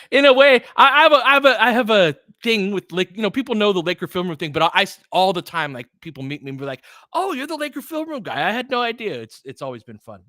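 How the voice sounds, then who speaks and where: A man's voice: sits high, wildly swooping pitch, brisk, pushed and bright, dry recording, studio quality. A man doing casual live basketball commentary as a livestream host, talking informally and directly to his viewers.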